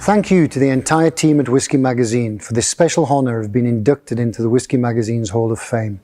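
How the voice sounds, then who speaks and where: Guy in his 60s giving a heartfelt thank-you, warm low tone. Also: a little fast, faint music behind. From Yorkshire, England. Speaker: whisky distiller. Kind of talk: recorded acceptance speech.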